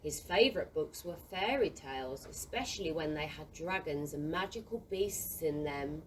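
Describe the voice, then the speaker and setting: Animated woman storyteller, slightly distant. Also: sits low, normal speed. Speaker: storyteller, narrator. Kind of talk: theatrical scripted children's storytelling. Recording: distant mic, faint room noise.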